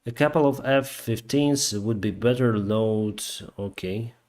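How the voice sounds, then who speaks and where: A man from Ukraine with a Ukrainian accent, hosting a casual live chat and answering viewers in a hesitant, halting way. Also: fairly low pitch, darkish tone. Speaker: live stream host, airline pilot.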